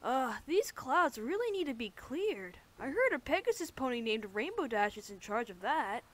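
Small boy dragon sidekick for animation female performer: high, lively, teasing, childlike, with faint noise behind. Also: moderate pace. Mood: matter-of-fact. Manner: matter-of-fact, teasing, childlike. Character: cheeky little male baby dragon sidekick. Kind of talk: animated fan-series dialogue.

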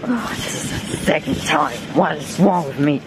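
A man's voice: on the high side, moderate pace, background noise. A young man with a German accent, rambling and self-deprecating as he vlogs on a walk, swearing casually. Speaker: vlogger. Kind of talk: casual walking vlog. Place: outdoors on streets and on a bus. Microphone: phone held while walking.

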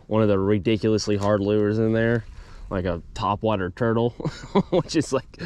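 A man's voice: on the low side, darkish tone. A man hosting a fishing video, speaking to viewers in a casual, slangy way. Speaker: fishing video host and competitor. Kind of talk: casual outdoor vlog chat with a scripted sponsor read.

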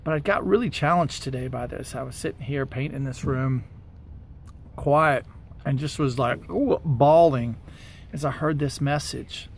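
A man's voice: medium pitch, a little slow, vocal fry. An American man in his forties giving casual, earnest encouragement to the camera, speaking conversationally outdoors into a handheld device. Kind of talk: casual devotional talk to camera. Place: outdoors by a wooded parking lot. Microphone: camera or phone at arm's length. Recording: outdoor ambient noise.

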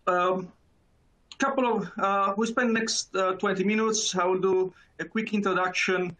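Man, Neapolitan Italian accent, thirties, halting presentation full of "uh", raised pitch, webcam from a small room. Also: steady tone, bright, forceful tone. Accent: Italian, from Naples. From Italy. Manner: halting, many fillers and repeated words. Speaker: conference speaker. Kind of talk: presentation over video call. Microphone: video call webcam.

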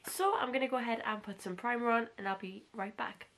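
A young woman in her twenties with a husky voice, chatting casually through a makeup tutorial in a small bedroom, gushing over products. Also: on the high side, quick pace, fairly bright tone. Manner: chatty and gushing, heavy use of "like". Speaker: beauty vlogger.

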